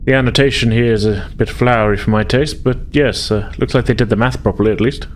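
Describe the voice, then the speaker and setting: A man's voice: mid-range voice, fairly even intonation, fast, slight background noise, natural voice. A man voicing an absent-minded, breezy starship engineer who hems, haws and muses hesitantly. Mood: musing. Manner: hesitant, musing, hemming and hawing.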